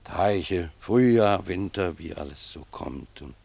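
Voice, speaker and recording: A man's voice: low voice, animated, gravelly, a little mellow, husky, no room sound, exaggerated voice. A man playing a humble, pious old gardener in a German radio drama, speaking reflectively and with deference to his superior. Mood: pensive, devout. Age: older. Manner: reflective, deferential, unhurried phrasing.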